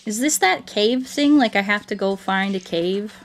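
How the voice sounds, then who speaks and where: A woman talks casually on a gaming livestream over faint music. Her voice sits at an average pitch for a woman. She rambles playfully and answers viewers out loud. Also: moderate pace.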